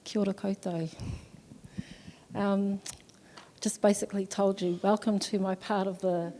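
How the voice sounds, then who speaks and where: A New woman speaks as a panelist, proud and reflective, in short bursts. Her voice is mellow and sits in the middle of the range. A long reverb trails it through the hall. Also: medium pitch, flat delivery, a little slow, slight background noise. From New Zealand. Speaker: panelist, former primary teacher. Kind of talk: panel talk, opening with a formal greeting in te reo Māori. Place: conference hall, seated on a sofa.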